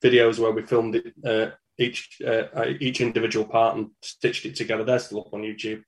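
A man's voice: fairly low pitch, little pitch movement, a little fast, rough recording. A man with a Manchester accent, a band's singer, chatting easygoing and matter-of-fact as a guest over a video call. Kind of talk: casual interview chat.

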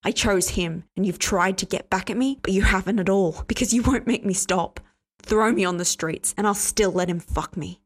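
A woman reading a story aloud, voicing a furious, cornered young man in a low, blunt, hard-edged voice. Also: moderate pace, natural voice. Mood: bitter, defiant.

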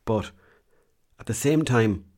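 A man's voice: mid-range voice, slow, a little mellow. An Irish man telling a rambling, self-mocking holiday story in casual vlog style, with slang and the odd swear. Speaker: vlogger.

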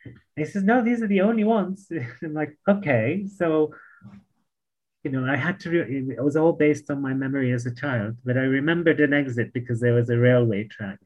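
A man from Palestine with a British accent, a memoir author telling lively stories in an interview and acting out other voices. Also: mid-range voice, moderate pace, highs cut off. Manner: animated anecdotes, laughs often, acts out voices. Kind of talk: storytelling in an interview.

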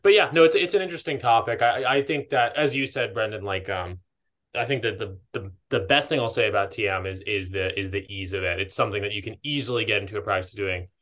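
A man's voice: lowish voice, quick pace, fairly bright tone. A man chatting casually as a podcast co-host, hesitant and rambling as he keeps interrupting himself.

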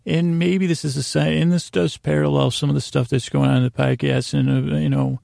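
A man tells personal stories in a gravelly, heavily creaking voice with little melodic movement. His delivery wanders and stalls, often dropping a thought halfway, with a self-deprecating, confessional bent. The sound is close and dry. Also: a little high, flat delivery, mellow, no echo. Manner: meandering, halting, trailing off mid-sentence. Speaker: podcast host. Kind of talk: rambling personal storytelling monologue.

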